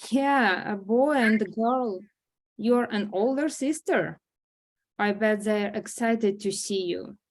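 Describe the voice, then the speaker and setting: Woman reading a gentle, unhurried grandma. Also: medium pitch, rough recording. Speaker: actor at a table read. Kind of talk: script table read.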